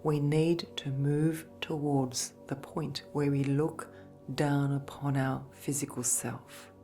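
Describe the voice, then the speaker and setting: A woman gently narrating a guided sleep meditation in a soft, breathy, soothing voice, with measured phrases and long pauses. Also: deep voice, level tone. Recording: voice over background music. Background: meditation music.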